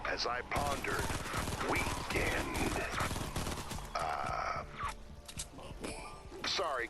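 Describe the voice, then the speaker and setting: A man playing a hapless, theatrical amateur horror storyteller in a video game, mock-spooky and faltering, easily flustered. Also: medium pitch. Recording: loud gunfire and game noise.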